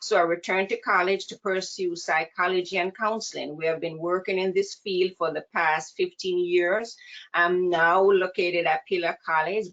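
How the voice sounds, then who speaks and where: A woman with a Jamaican accent giving an earnest, measured webinar presentation as a counselor, heard over a video call. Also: lowish voice, fairly bright tone. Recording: dull lo-fi video call audio.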